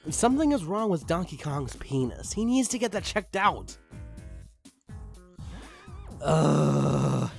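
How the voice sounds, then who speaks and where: Higher-pitched man reading with a very animated delivery. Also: vocal fry. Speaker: fan fiction reader. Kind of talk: dramatic story reading with amused asides. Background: music behind the voice.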